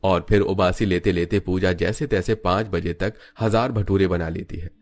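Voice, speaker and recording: Guy narrating a storybook tale, lowish voice, scripted read. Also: fairly even intonation, moderate pace.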